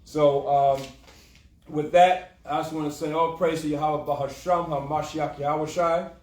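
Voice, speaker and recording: Guy teaching a bible class, kinda high voice, repeats himself, echoey and off mic. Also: steady tone, unhurried, a little mellow. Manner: repetitive, punctuating with "okay" and "huh". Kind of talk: informal scripture teaching. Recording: echoey room, distant mic.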